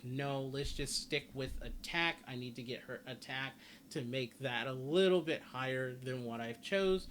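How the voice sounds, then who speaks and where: A man's voice: medium pitch, a bit bright, a little hiss or hum. A man giving casual game guide commentary, rambling as he repeats and corrects himself. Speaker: gaming guide host.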